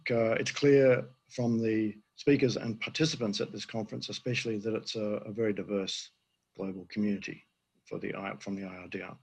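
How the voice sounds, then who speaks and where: An older man, an emeritus professor, giving a conference presentation with a hoarse voice he is losing, halting with many fillers. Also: lowish voice, darkish tone, poor audio. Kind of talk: conference presentation over video.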